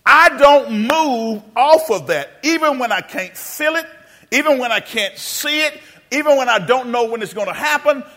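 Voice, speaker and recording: A man's voice: very high voice, expressive, normal speed, bright, forceful tone. A man preaching a sermon in a church. He calls out questions to the congregation and talks to them in a folksy way. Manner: call-and-response preaching, asks the congregation questions; folksy, addresses listeners as "y'all" and "baby". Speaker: pastor.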